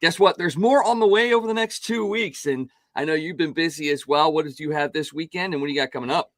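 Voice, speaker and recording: A man hosts a casual sports talk show, his voice raspy and worn hoarse. He talks in an upbeat, chatty way, restarting phrases often, with a slightly raised pitch. The recording is close and dry. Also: fast talker, a bit bright, dry recording. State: hoarse from calling games.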